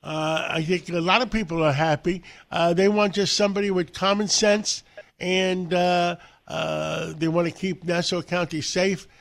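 A man in his seventies hosting an interview, speaking haltingly with many fillers. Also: high-pitched, flat delivery, vocal fry. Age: 70s. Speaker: host. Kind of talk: radio interview.

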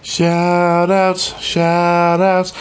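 A man's voice: high voice, completely flat delivery, drawn-out words, smooth. A man co-hosting a podcast with casual, playful banter, apt to break into sing-song. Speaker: podcast co-host.

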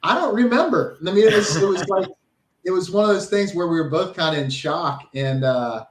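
A man's voice: high voice, normal speed, slight background noise. An American man, a former college ballplayer, reminiscing casually as an interview guest. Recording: roomy, echoey sound.

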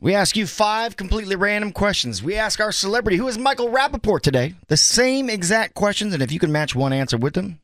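Lively, high-ish male radio quizmaster. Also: fast, few pauses, dry recording, clean studio sound. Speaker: radio show host, quizmaster. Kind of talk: casual radio game-show hosting. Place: dry radio studio.

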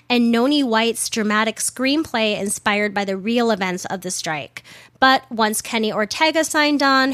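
A woman's voice: fairly high pitch, fairly bright tone, no echo, studio quality. A woman hosting a casual history podcast, chatty with wry asides, her voice slightly worn from being a little sick. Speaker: podcast host.

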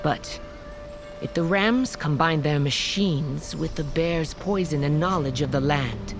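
A woman's voice: sits low, measured pace. A woman playing a proud, battle-hardened warrior queen in an audio drama, with a curt, commanding manner. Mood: grave, weary. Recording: dramatic music behind the voice.

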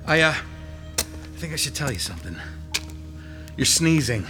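A wary searcher whose lively voice leaps around as he calls into the dark. Music hums under his tense lines in this radio drama. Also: fairly high pitch, a little slow, heavily acted. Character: wary male searcher named Brown.

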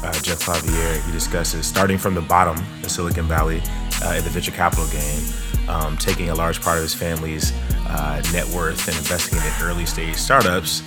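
A man hosting a podcast interview, chatting casually and filling pauses with um, uh and you know. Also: low voice. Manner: rambling, many fillers and restarts. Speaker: podcast host.

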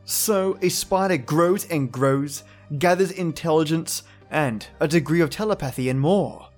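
A man's voice: fairly high pitch, measured pace, a little mellow. An Australian man hosting a podcast, giving casual, reflective commentary. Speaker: podcast host. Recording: faint music behind voice.

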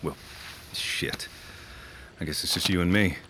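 A man's voice: sits low, unhurried, bright, forceful tone. An actor playing a weary, troubled man, terse and blunt, in a first-person audio drama. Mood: tired, guarded. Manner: terse, plain-spoken. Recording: background ambience and noise.

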